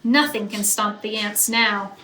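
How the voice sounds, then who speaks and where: A woman reading a picture book aloud to children like a teacher, with expressive, emphatic narration that draws out key words. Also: mid-range voice, measured pace. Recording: roomy echo, faint background noise.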